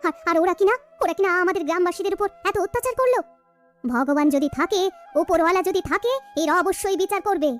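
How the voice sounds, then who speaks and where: Woman voicing a very high, plaintive Bengali village wife. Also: fast talker, faint music behind. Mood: aggrieved. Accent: West Bengal. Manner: plaintive, emphatic, repeats phrases. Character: anxious, devout young village wife in a cartoon.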